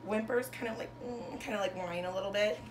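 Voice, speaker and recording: A woman in her thirties, a teacher reading a children's storybook aloud to pupils with expressive, sing-song phrasing and emphasized words. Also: medium pitch, fast talker, background noise. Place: bedroom, indoors. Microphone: camera a couple of metres away.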